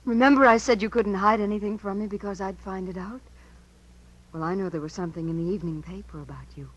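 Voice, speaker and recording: An actress playing an eager, inquisitive young niece in a 1950s radio drama, earnest and insistent. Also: female voice, medium pitch, fast talker, dry recording, lightly acted, rough recording. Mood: coaxing. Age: young adult. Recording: vintage 1950s radio broadcast.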